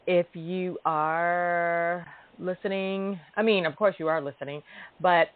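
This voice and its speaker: A woman's voice: on the low side, steady tone, takes it slowly. Chatty woman in her 40s hosting a podcast, thin phone sound, no room echo. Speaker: podcast host. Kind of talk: casual call-in show chat. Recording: narrow phone-line sound.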